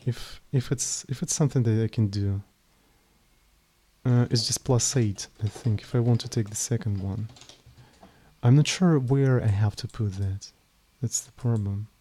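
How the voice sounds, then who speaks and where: A young man with a Slavic accent, live-streaming his programming and thinking aloud in a tired, halting way. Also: medium pitch, mellow. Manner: halting, thinking aloud, trailing off mid-sentence. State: mentally tired. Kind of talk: casual live coding stream.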